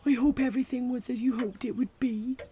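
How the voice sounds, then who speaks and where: Woman as a high-voiced, anxious doting older woman. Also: steady tone, a little fast, mellow, slight background noise, natural voice. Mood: tearful, apologetic. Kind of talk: audio drama acting.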